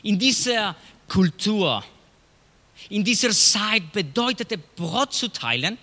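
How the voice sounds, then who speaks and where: A middle-aged man from Chile with a Chilean Spanish accent, a pastor preaching a sermon in a slow storytelling way with hushed dramatic drops. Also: high-pitched. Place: church hall. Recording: long hall reverb.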